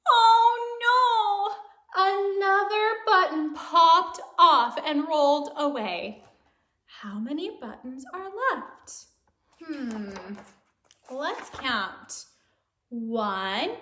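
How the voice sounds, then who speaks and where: A woman reading a picture book aloud to young children in an animated, playful, sing-song way, with exaggerated exclamations and questions. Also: sits high, reverberant.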